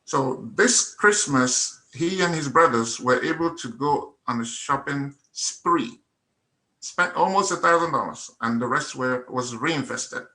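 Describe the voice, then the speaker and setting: Guy, middle-aged, bright voice, earnest testimony, hammers the same phrase over and over. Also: mid-range voice, unhurried, low-quality recording. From Nigeria. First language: Igbo. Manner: earnest, emphatic, repeats phrases for effect. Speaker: testimonial speaker. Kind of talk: personal testimony at a meetup.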